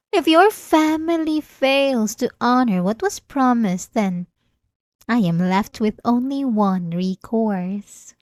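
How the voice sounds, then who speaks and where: A woman's voice: medium pitch, a little mellow, no room sound. A woman playing a centuries-old, possessive vampire lover in an ASMR roleplay, silky and condescending, with sweet menace, close to the mic. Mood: condescending threat. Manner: slow, silky, condescending endearments, teasing menace. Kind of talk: ASMR roleplay, dramatic monologue. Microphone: close, dry studio-style mic.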